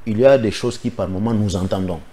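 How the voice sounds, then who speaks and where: A man's voice: on the low side, fast, warm tone. A man from the Democratic Republic of the Congo, a political guest giving firm, insistent answers in a studio interview.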